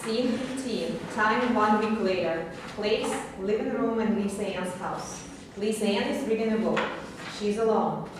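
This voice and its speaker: A woman's voice: medium pitch, a little slow. Female stage directions reader, scripted narration, distant and noisy in a very echoey room. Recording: echoey room, distant mic, clear noise.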